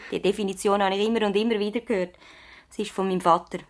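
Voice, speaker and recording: An actress playing an earnest, thoughtful young practice assistant and loyal niece in a radio play, with a Swiss German accent. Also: female voice, mid-range voice, fairly even intonation, fast, lightly acted. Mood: animated, proud. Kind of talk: radio play acting, conversational dialogue.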